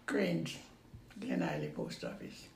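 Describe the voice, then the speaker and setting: Older Jamaican woman with a gravelly voice. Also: rumbling low, huge pitch swings, darkish tone. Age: 80s. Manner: unhurried, repeats phrases, trails off. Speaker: interviewee. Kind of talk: casual recollection. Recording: roomy recording with faint background noise.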